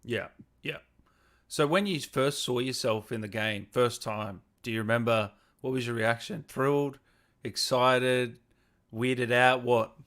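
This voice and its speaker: A man's voice: medium pitch, unhurried, fairly bright tone. A man chatting casually as he interviews a guest on a gaming show, asking questions in an informal way. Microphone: video call.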